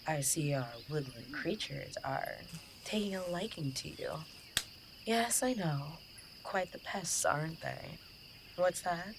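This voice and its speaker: A woman plays a playful, eerie forest guide with a low voice. She takes her time, slow and theatrical, as crickets and insects buzz clearly around her in this audio drama. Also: lively intonation, background noise, full character voice. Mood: playful, mysterious. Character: Ranger Harper, playful eerie forest guide welcoming visitors. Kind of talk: immersive audio drama narration. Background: crickets and buzzing insects.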